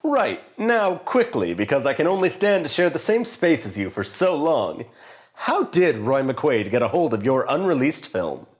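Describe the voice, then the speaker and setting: An actor in an audio drama playing a prim, impatient interview host with a stiff, curt, disdainful manner. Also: male voice, a little high, very animated, normal speed.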